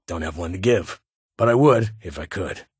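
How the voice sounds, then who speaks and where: An actor playing a stern, weary security chief in a video game, with a husky voice and a formal, clipped, authoritative manner. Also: male voice, deep voice, animated, moderate pace, dry recording, studio quality, exaggerated voice. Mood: sincere, respectful. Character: stern, weary male vault security chief, guarded but honorable.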